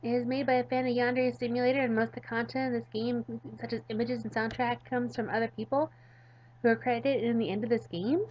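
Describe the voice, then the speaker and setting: A woman voicing a goofy, excitable, ditzy teenage schoolgirl from a visual novel, rambling and dramatic. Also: fairly high pitch, quick pace, lo-fi sound, a little hiss or hum, lightly acted. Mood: puzzled, rambling.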